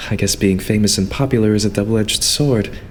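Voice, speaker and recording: A man playing a young idol butler in an anime-style fan dub, formal and courteous with a quietly condescending edge. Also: lowish voice, steady tone, slight background noise, close to an everyday voice. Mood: reserved, matter-of-fact. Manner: formal, courteous, measured, servile phrasing.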